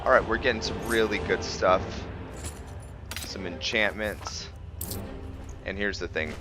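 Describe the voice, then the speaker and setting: A man's voice: fairly low pitch, measured pace, over music. A man giving casual, laid-back commentary as he plays a video game, thinking aloud. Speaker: gamer narrating his playthrough. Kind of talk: casual gameplay commentary with roleplay.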